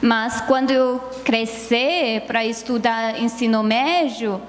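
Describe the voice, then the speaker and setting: A young woman with a Chinese accent speaks slowly and haltingly, often pausing to find her words. Her voice sits a little high for a woman and rings through a long church reverb with a faint hiss underneath. She talks as a guest student giving a short testimony. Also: steady tone. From China. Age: young adult. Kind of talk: hesitant testimony. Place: church sanctuary.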